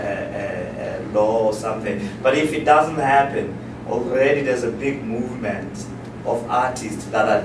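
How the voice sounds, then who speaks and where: A man talks on a festival panel, groping for words with many false starts. He sits well back from the microphone in an echoey room. A steady hum of background noise runs beneath him. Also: on the low side, recorded from a distance. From South Africa. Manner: hesitant, many restarts and fillers. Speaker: filmmaker on a panel. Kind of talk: unscripted panel talk.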